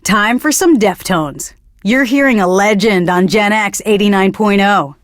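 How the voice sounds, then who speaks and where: A pretentious young indie radio DJ for a video game, acted by a woman. She delivers song patter with earnest, condescending self-importance at a middling pitch. The sound is very dry and close. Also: a little slow, dry recording, natural voice. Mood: pretentious, lofty. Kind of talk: radio DJ patter between songs.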